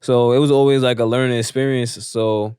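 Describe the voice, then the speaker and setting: A man in his twenties hosting a podcast, chatting casually in quick slang, peppering lines with "you feel me" and "bro". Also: mid-range voice, fairly even intonation, dark tone, clean tone, no echo, studio quality. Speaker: podcast host. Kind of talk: casual chat.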